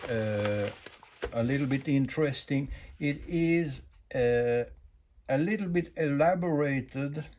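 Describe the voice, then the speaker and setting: A man with an average-pitched voice explains audio equipment in an informal, unscripted way. He is a non-native English speaker and talks slowly, pausing often as he searches for the next phrase. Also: darkish tone. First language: not English. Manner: halting, unscripted, with long hesitations.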